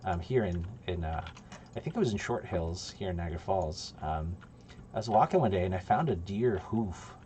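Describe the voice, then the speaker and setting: A man's voice: deep voice, expressive, normal speed, warm tone, slight background noise. A man in his thirties, originally from Poland, chatting casually and rambling with many ums while streaming game development from a home desk. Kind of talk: casual livestream chat.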